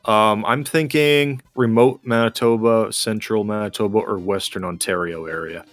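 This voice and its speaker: A man's voice: lowish voice, steady tone, moderate pace, no echo. A man chatting casually while playing a game, musing and explaining his thinking as he goes. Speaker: gamer co-hosting a gameplay video.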